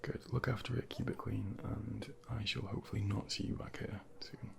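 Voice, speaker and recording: Soft-spoken, very creaky ASMR man. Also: lowish voice, flat delivery. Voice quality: breathy soft voice slipping into whispers. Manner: gentle, reassuring, unhurried asides. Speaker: ASMR performer playing a junior doctor. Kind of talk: ASMR medical role play, soft-spoken and partly whispered. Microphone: close binaural microphone. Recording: clearly noisy close binaural recording, ambient background.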